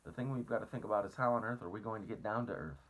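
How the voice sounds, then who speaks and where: A glum, complaining earthworm from a storybook, as a man reads him out. The husky voice grumbles and groans, lifted above the reader's usual deep pitch. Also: rapid-fire, fairly bright tone. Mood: irritable.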